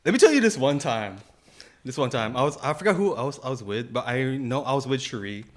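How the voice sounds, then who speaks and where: A man's voice: mid-range voice, lively intonation, fairly quick. A man co-hosting a podcast, telling stories in casual, slangy talk and often repeating his phrases. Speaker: podcast co-host. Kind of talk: casual chat and anecdote telling.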